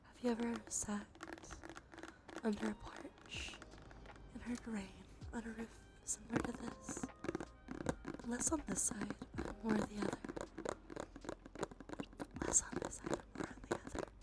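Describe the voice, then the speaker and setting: A woman softly whispering casual ASMR chat to livestream viewers, relaxed and unhurried, with a slightly stuffy nose. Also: fairly high pitch, very noisy. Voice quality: soft, breathy whisper. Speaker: livestream host. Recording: background music under close whispering. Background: music.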